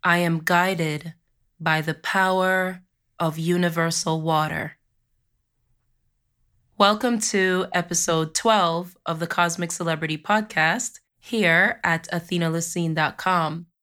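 Woman, from Jamaica, podcast host, has a cold, slightly losing her voice, low-ish pitch, smooth, rambling with fillers. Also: steady tone, a bit bright. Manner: slow, chanting affirmations, then loose rambling with many fillers. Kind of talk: spiritual podcast monologue.

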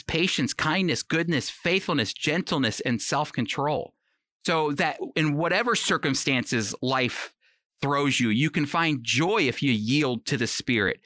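A man's voice: fairly high pitch, bright, forceful tone, no room sound, studio quality. A man giving conversational, scripted Bible teaching in an earnest, explanatory manner. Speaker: Bible teacher on a radio program.

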